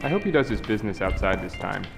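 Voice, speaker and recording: Radio play: man's preoccupied, mildly grumbling inner monologue, lowish register, clear music underneath. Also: animated, quick pace.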